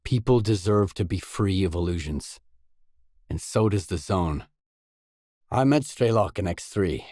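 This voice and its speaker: Solemn determined stalker from a video game, lowish voice, really slow and deliberate, dry close sound. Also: male voice, lively intonation, darkish tone, no room sound. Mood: solemn, resolute. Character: earnest, determined male stalker in a post-apocalyptic zone. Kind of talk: scripted video game dialogue.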